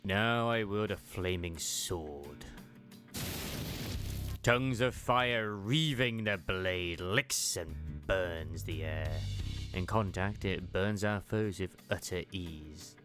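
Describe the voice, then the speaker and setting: A man voicing a bookish, reserved martial archivist in a game fan dub, speaking in formal, archaic, measured phrases with gentle wistfulness. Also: fairly low pitch. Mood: solemn, reflective. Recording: music under the voice.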